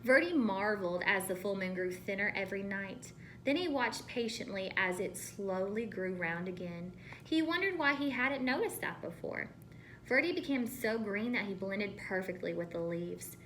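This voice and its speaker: A woman reading a storybook aloud to children as a teacher, expressive and pausing to ask questions. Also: medium pitch, slight background noise, rough recording.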